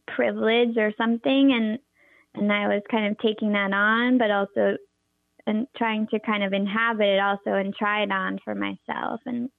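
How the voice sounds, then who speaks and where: High-voiced young woman, halting and gravelly. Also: dry recording. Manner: hesitant, many fillers and restarts. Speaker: performance artist and teacher. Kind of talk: interview conversation. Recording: tinny phone-like line, dry.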